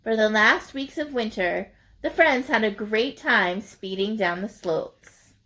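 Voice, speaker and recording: Female children's storyteller, fairly high voice, very unhurried, expressive and emphatic read-aloud delivery. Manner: deliberate, emphatic, addressing young listeners. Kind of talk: expressive picture-book narration.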